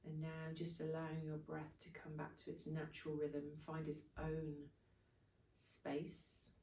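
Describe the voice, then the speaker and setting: A woman guides a breathing meditation in short, unhurried phrases. Her voice is mellow and soothing, with very little rise and fall. It sounds roomy and echoey, as if picked up away from the microphone. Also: deep voice, completely flat delivery. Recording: echoey room, distant from microphone.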